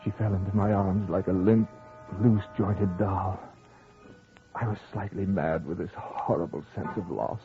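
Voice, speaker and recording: A man's voice: deep voice, fairly even intonation, measured pace. An actor playing a haunted, grieving husband who narrates his ghostly tale in dramatic old-time radio horror style. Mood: grief, dread. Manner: dramatic, theatrical delivery. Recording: tinny old radio broadcast with music.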